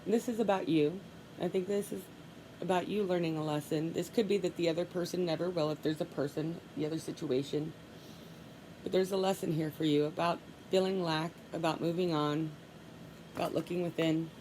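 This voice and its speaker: A woman with a low voice and a congested, stuffy nose gives a solo tarot reading. She talks calmly and murmurs the same soft requests over and over as she draws cards. A steady hiss of noise sits under the recording. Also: fairly quick, rough recording. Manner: calm, softly repeats requests while drawing cards. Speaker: tarot reader.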